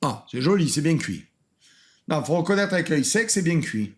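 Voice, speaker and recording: A man's voice: a little high, very animated, moderate pace, slight background noise. A French man streaming a reaction video in a casual, mocking way, laughing often and repeating lines.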